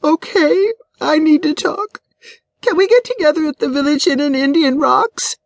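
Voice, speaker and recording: A woman's voice: high voice, moderate pace, no echo, voice pushed up. A woman voicing a distraught, bitter middle-aged wife in an audiobook, with a tearful, indignant manner. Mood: subdued.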